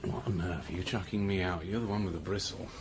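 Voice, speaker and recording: An actor playing a drunken, taunting scientist on a space station in an audio drama, rambling theatrically with slurred speech. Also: male voice, deep voice, fairly even intonation, fast, background noise, natural voice. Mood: drunken, mocking.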